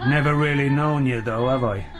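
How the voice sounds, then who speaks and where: A man's voice: medium pitch, steady tone, normal speed, music in the background, natural voice. An actor playing a bitter husband questioning his wife in a radio drama.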